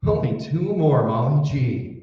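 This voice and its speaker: Wisecracking folksy husband played by a man, radio comedy, animated pitch, heard distant in a roomy space. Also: a little slow, slight background noise. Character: folksy wisecracking husband working as poll clerk. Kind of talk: old-time radio comedy acting. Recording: echoey room, distant mic, dull sound.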